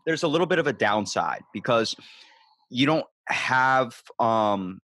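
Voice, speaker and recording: A young man hosting a casual podcast interview, telling crude jokes and stories with animated energy. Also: mid-range voice, measured pace, no echo. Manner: animated, crude joking storyteller. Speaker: podcast host.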